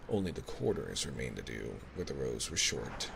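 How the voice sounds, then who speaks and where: Male ASMR storyteller, rock-bottom pitch, unhurried scripted reading, ocean sounds clearly audible behind him. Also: sing-song, background noise. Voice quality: very deep, gravelly. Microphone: binaural ASMR microphone, close.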